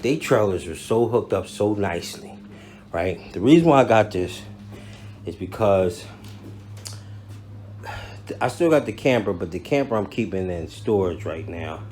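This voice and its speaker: A man's voice: fairly low pitch, darkish tone, slight background noise. An American man talking casually to viewers as a vlogger, slangy and informal, showing them around as he goes. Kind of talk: casual unboxing and walkthrough talk to camera.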